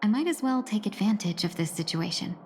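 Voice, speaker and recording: A woman acting a graceful, composed young woman in a video game, with polite, measured phrasing and a wry, quietly resolute temper. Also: medium pitch, expressive, faint music behind, lightly acted. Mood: calm resolve. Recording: long reverberant hall echo.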